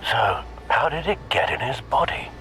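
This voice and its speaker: A loyal older butler in a video game, with a bright voice that moves widely in pitch. He is formal and concerned, watching over his master. Ambient noise runs behind him. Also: very animated, moderate pace. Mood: concerned, pondering.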